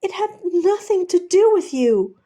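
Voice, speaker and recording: Woman acting a pleading, flustered gentleman pirate, high-pitched. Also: sing-song, unhurried, full character voice. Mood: desperate. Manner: pleading, earnest. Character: desperate, apologetic gentleman pirate, earnest and flustered.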